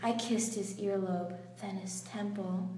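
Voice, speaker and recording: A woman's voice: mid-range voice, fairly even intonation, a little slow, over a noisy crowd. A young woman giving a playful, giggly dramatic reading of romantic fiction. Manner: playful, often breaking into giggles. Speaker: reader in a water-spitting challenge. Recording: noisy, echoey room with clicks.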